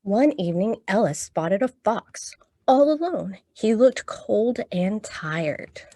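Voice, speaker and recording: A woman's voice: mid-range voice, animated, slow pace, mellow, no echo, clean studio sound. A woman hosting a storytime for young children, talking encouragingly and reading aloud to her little audience. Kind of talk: children's storytime with songs and picture-book reading.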